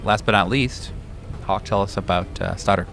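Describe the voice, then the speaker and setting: A man's voice: deep voice, moderate pace, creaky, a bit bright. A man acting as game master, describing scenes and guiding players in a tabletop roleplaying game.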